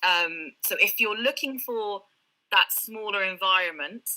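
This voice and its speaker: A British woman tutoring over a video call, patiently explaining with lots of ums and small laughs. Her voice is very bright and clear-toned at a middling pitch. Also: a little slow, low-quality recording. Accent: British English. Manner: friendly explaining with frequent ums and light laughs. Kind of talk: live online Q&A.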